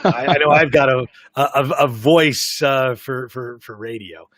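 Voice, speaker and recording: A man hosting a live podcast, talkative and self-described as the loudest mouth of the group. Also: medium pitch, unhurried, dry recording. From Canada. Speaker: podcast host. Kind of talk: casual live podcast chat. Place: home in South Florida.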